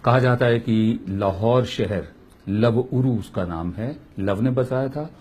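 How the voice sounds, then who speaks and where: A man's voice: medium pitch, takes it slowly, darkish tone, slight background noise. A man giving opinion commentary as a political commentator, emphatic and full of rhetorical questions.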